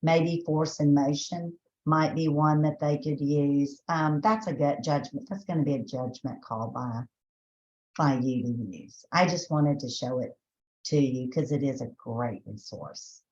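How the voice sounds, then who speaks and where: A woman's voice: sits low, measured pace, darkish tone, roomy sound, lo-fi sound. A woman from Texas with a Southern accent, presenting a teacher training webinar in a chatty way, often restarting her sentences. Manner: chatty, thinks aloud with restarts and fillers.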